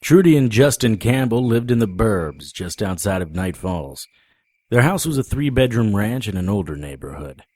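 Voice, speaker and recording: An actor playing a wry, world-weary male paranormal investigator, narrating a first-person noir audio drama in a deadpan manner. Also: fairly low pitch, moderate pace, no echo. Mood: wry, matter-of-fact.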